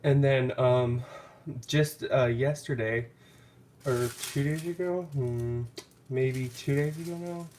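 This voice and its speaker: A man's voice: medium pitch, steady tone, slow, darkish tone, slight background noise. Congested man rambling through a haul. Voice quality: nasal. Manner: rambling, hesitant, full of ums. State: stuffy nose from clogged sinuses. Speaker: candle vlogger. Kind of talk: casual haul vlog.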